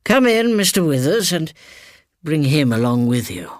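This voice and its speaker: A man playing an imperious high-pitched English spinster aunt. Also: very animated, normal speed, no echo, clean studio sound. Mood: commanding. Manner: trenchant, condescending, precise.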